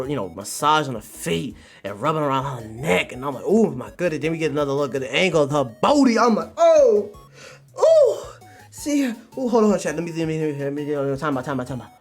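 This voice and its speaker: A man's voice: on the high side, expressive, fast, hardly any pauses, a bit bright. A man hosting a livestream, giving slangy, exclamatory commentary to his chat and repeating phrases in bursts. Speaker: livestream host reviewing manga. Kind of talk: animated commentary to a live chat. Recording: music behind the voice. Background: music.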